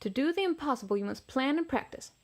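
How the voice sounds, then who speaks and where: Woman voicing an eager, high-pitched young dinosaur sibling. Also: expressive, fast.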